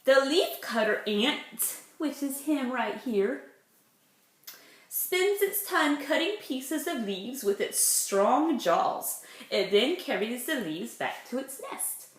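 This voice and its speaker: A woman, a preschool teacher reading aloud to young children with simple, chatty explanations. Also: on the high side. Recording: echoey room.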